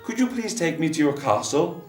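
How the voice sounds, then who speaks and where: A man's voice: fairly high pitch, sing-song, normal speed. A man voicing a friendly, polite older male king in a hearty, gracious manner. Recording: roomy, distant, faint guitar.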